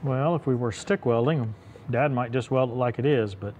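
A middle-aged man talks through a tractor repair in a workshop, thinking aloud and often restarting his sentences. His voice sits at an ordinary middle pitch. The camera is close and the tone is casual and plain. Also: normal speed, a little mellow, a little hiss or hum. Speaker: tractor repair channel host. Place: workshop beside a tractor, indoors.

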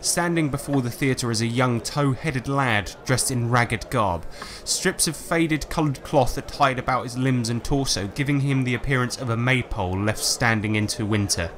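A man narrating a tabletop game as game master, reading dramatic descriptions in a measured, scripted way. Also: medium pitch, background noise. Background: music.

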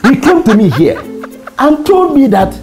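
A woman's voice: high-pitched, big pitch swings, normal speed, music in the background. A woman with a Nigerian accent playing a gleeful landlord who teases tenants with effusive praise in a comedy drama. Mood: gleeful, teasing.

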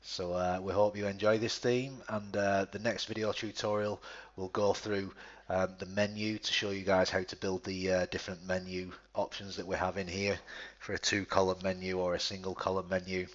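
A man presenting a screen-recorded software tutorial, talking step by step with frequent restarts and fillers. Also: low voice, little pitch movement, normal speed, few pauses, dull audio.

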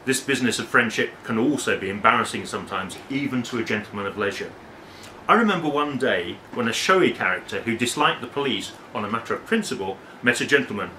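A man in his forties reads passages aloud to a small audience in a bookshop. Between passages he breaks off for hesitant, self-correcting asides. His voice is bright and forward, and it echoes around the room, caught from some distance with faint background noise. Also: mid-range voice, animated, quick pace. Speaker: academic reading at a book launch. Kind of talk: public reading with casual asides. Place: bookshop, indoors. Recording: roomy echo from far off.

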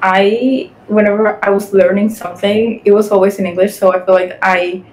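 A young woman whose first language is Spanish, speaking English with a Spanish accent as an interview guest, chatty and quick with lots of "like" fillers, on a video call from home. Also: mid-range voice, fairly even intonation, darkish tone, poor audio. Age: young adult. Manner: chatty, fast, many "like" fillers. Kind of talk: casual interview chat. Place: home room with city windows.

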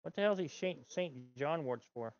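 A man's voice: fairly high pitch, animated, fairly quick, darkish tone, lo-fi sound. A middle-aged man streaming a game from home, grumbling and talking to himself as he plays. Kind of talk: casual gameplay chat. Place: home gaming room, indoors.

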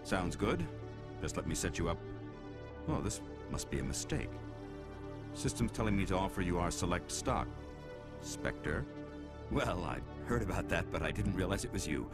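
A man's voice: low voice, sing-song, moderate pace, long pauses, loud music behind the voice. An actor playing a businesslike male alien police quartermaster in a sci-fi game, courteous and official in conversation. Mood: apologetic. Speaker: requisitions officer.

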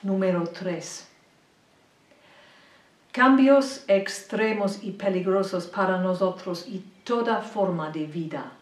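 An older woman giving a slide lecture point by point, in careful, deliberate phrasing of a non-native speaker, speaking in a small indoor room. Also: mid-range voice, recorded from a distance. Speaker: presenter. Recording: roomy echo, mic set back.

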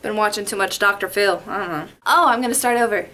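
A woman's voice: mid-range voice, quick pace, bright, forceful tone, a little hiss or hum. A young woman from Las Vegas, chatty and rambling, talking through a makeup tutorial into a webcam in her bedroom. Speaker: beauty vlogger. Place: bedroom at home. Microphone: webcam in front.

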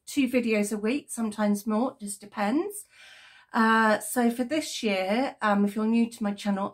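Croaky British woman chatting about crochet. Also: mid-range voice. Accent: British English. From United Kingdom. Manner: chatty and rambling, lots of ums and self-corrections. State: croaky, coming down with a cold. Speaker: crochet vlogger. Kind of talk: casual chat to camera. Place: home craft room with yarn shelves, indoors. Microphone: webcam at desk, framed from the chest up.

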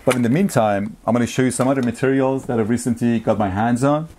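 A man in his forties chatting casually to camera as a vlogger, with an enthusiastic, appreciative and somewhat halting delivery. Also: medium pitch, darkish tone, a little hiss or hum. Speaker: vlogger showing a music and tape collection. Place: small home room, indoors.

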